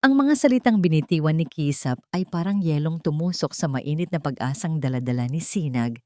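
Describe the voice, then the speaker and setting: Female Filipino narrator for dramatic fiction; slightly low pitch, very expressive melody, dry recording. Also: dry recording. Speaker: story narrator. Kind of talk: dramatic scripted storytelling narration.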